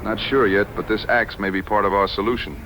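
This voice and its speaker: An actor playing a steady, plain-spoken frontier hunter in an audio western, with measured folksy phrasing. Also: male voice, lowish voice, a little hiss or hum, lightly acted, low-quality recording. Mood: reassuring. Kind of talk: dramatized audio western dialogue.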